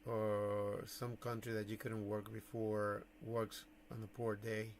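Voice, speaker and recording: A man giving casual livestream commentary as a radio hobbyist, thinking aloud in halting phrases. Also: lowish voice, little pitch movement, a little hiss or hum. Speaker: amateur radio operator, livestream host.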